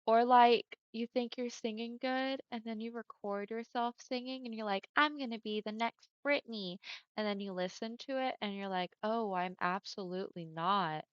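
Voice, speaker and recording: Chatty woman streamer, smooth deeper voice. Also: moderate pace, no room sound. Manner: chatty, friendly, often laughing. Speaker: livestreamer. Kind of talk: casual chat with viewers. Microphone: headset mic. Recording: dull lo-fi headset mic.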